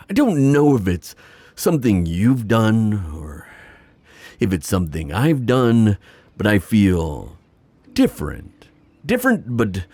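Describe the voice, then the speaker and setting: A man playing a proud, protective sea god and father in a fantasy ASMR roleplay, musing haltingly with sighs. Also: on the low side, darkish tone, breathy edge, dry recording, exaggerated voice. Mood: confused, moved.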